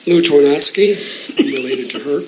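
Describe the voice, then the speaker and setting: An older man near eighty speaks up at a community meeting, blunt and exasperated as he urges action. His voice sits a little high for a man, with a faint hiss underneath. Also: moderate pace, a little mellow, rough recording. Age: 70s. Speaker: public commenter. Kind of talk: public comment at a community meeting.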